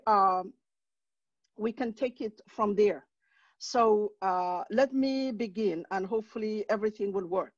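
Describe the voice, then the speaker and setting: A middle-aged woman from Somalia with a Somali accent, giving an academic lecture on a video call from her home, halting with many fillers and restarts. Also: on the high side, dry recording. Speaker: guest lecturer, professor. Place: home room, indoors. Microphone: headset. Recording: dull dry video-call audio.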